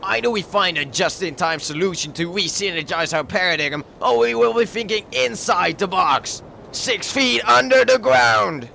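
A blustering cartoon army man with a heavily gravelled, high-pitched bark. His delivery swings up and down like an angry drill sergeant's, with faint noise behind it. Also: lively intonation, moderate pace, pushed and bright, heavily acted. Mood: blustering, stern. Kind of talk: comic dub dramatic reading.

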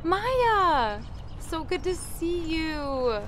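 A woman's voice: very high-pitched, very animated, drawn-out words, a bit bright, slight background noise, full character voice. A woman playing a friendly, eager young teacher in an amateur audio drama. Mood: delighted.

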